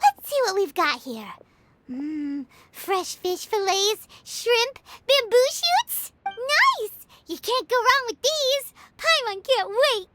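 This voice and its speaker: An actress voicing a tiny, chirpy fairy companion in a video game, bubbly and teasing, with a very high, childlike voice, talking about herself in the third person. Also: female voice, very animated, slow pace, noisy background, exaggerated voice. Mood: eager. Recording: game audio with music underneath.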